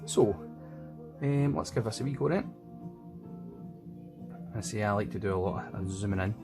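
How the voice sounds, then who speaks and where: A man's voice: fairly low pitch, moderate pace. A man with a Scottish accent giving casual commentary as he draws, thinking aloud in an informal way. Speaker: illustrator narrating own drawing process. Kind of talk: casual art vlog commentary. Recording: music playing in background. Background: background music.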